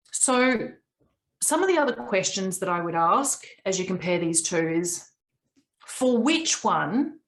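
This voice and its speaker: A woman in her late forties, raised in Papua New Guinea, coaching a group on a video call in an earnest, reflective way with long pauses. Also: medium pitch.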